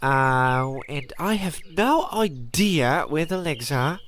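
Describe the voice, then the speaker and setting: Man doing a meek bookish assistant, stammering, lively swooping pitch, faint noise. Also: slow pace. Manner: hesitant, stammering, wistful. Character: meek bookish male gun caddy assistant. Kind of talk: comedic radio play acting.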